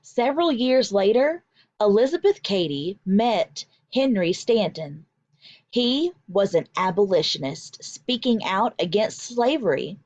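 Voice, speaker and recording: A woman teacher reading aloud to children in an expressive, deliberate, emphatic way. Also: medium pitch, dry recording, lo-fi sound. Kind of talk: expressive children's read-aloud with vocabulary explanations.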